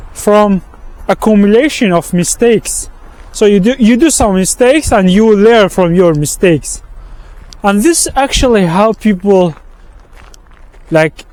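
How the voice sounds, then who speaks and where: A man with an Arabic accent, whose first language is Arabic, giving a walking vlog monologue outdoors in a halting way, often restarting and repeating words. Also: high-pitched, darkish tone. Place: outdoors on a street with passing traffic.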